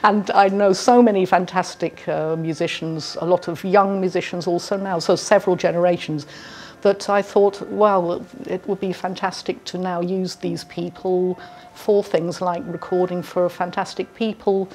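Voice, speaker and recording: A woman's voice: on the low side, fairly even intonation, a little slow, darkish tone, slight background noise. A middle-aged woman, an orchestra organiser, talking conversationally in an interview. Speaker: musician who organises an orchestra. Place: indoor room with brick walls, bar behind. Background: background music.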